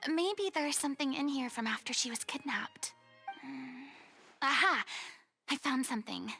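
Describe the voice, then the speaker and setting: Brief: self-assured young anime-game heroine, dramatic and articulate, higher-pitched with a noticeable creak, music behind. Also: female voice, unhurried, sharp tone, heavily acted. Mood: curious. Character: theatrical, self-assured young woman, earnest investigator. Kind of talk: voice acting in an anime-style video game.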